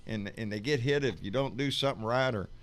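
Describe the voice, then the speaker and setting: A man's voice: mid-range voice, fast talker, slight background noise. Blunt, profane man hosting. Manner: rambling with repeated restarts. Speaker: host. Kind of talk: casual live-stream chat.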